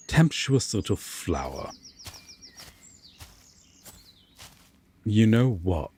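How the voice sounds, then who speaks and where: A man's voice: fairly low pitch, sing-song, slow pace, darkish tone, slight background noise. A man playing a haughty, possessive fae king in a fantasy audio roleplay, speaking formally and with theatrical deliberation. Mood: intrigued.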